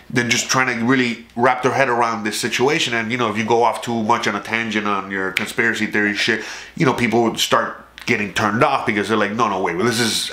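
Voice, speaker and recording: A Cuban American man from South Florida delivers a swearing, meandering monologue to his viewers, checking in with frequent "okay?" asides. His voice is bright and sharp, at a middle pitch for a man. The room around him is echoey. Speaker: YouTube channel host. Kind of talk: casual profane monologue to camera.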